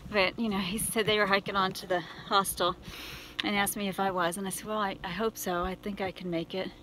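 American woman vlogging over clear outdoor noise. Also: medium pitch, level tone, brisk, pushed and bright. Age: middle-aged. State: tired. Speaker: thru-hiker vlogger. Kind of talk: casual trail vlog to camera. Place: outdoors on a wooded mountain trail. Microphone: phone at arm's length. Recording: clearly noisy outdoor phone recording.

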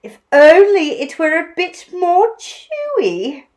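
A woman's voice: very high-pitched, huge pitch swings, slow, voice pushed up, full character voice. A woman voicing a graceful female emu in a storybook, fluttery, sighing and theatrical. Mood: airy, fluttering.

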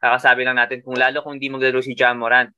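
A man's voice: mid-range voice, completely flat delivery, rapid-fire, sharp tone, clear, resonant tone, no echo, muffled recording. A man with a Filipino accent co-hosting a fantasy basketball show, mixing Tagalog and English and thinking aloud with fillers. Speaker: fantasy basketball podcast co-host. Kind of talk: casual analytical chat.

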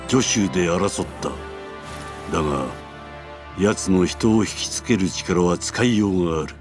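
Male game role: commanding middle-aged ancient Chinese warlord, deep and deliberate, music underneath. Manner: measured, imperious, archaic lordly speech. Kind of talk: Japanese video game cutscene acting.

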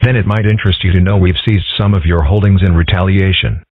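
A man's voice: sits low, steady tone, fast talker, no echo. A man voicing a stern, exasperated attorney general on the telephone in a comic strip dub, speaking formally. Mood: weary officiousness. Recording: muffled narrow phone-like sound.

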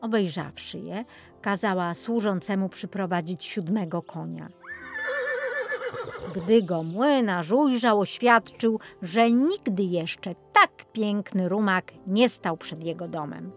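A woman's voice: mid-range voice, slow, soft background music. A woman narrating a children's fairy tale as an audiobook storyteller, reading from a script.

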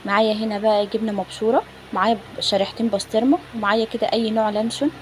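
An Egyptian woman talks viewers through home cooking in a casual, chatty way. Her pace is quick and her pitch sits in a middle female range. There is some noise in the recording. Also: fairly even intonation. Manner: chatty, informal, addressing viewers directly. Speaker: home cook presenting a recipe. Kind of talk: casual cooking tutorial narration. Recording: phone recording with clear background noise.

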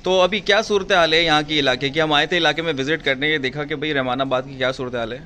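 A man reports from a residential lane for his vlog. His voice sits slightly high, and he asks questions in a calm, even way. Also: fast, slight background noise. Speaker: vlogger reporter.

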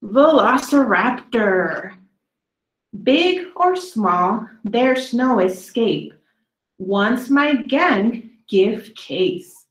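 Lady doing a kids dino book read aloud, really slow n deliberate, echoey room. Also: fairly high pitch, lively intonation. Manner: slow, deliberate delivery with drawn-out words for young listeners. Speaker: children's story reader. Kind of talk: read-aloud of a children's dinosaur poem book. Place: small indoor room.

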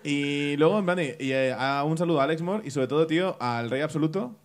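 Slightly high-voiced guy rambling on a bar-stage podcast mic, keeps laughing. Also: fairly quick. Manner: rambling, peppers speech with "tío", bursts of laughter. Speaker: podcast co-host. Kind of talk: casual comedy podcast banter. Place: small bar stage with live audience.

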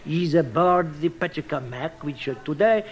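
An actor playing a flustered, apologetic Peruvian police inspector with a formal, stammering manner in a radio drama. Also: male voice, fairly high pitch, animated, moderate pace, natural voice. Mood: officious.

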